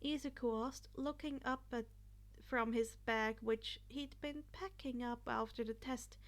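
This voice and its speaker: A woman's voice: on the high side, steady tone, unhurried. A woman reading scripted story narration as an audiobook narrator.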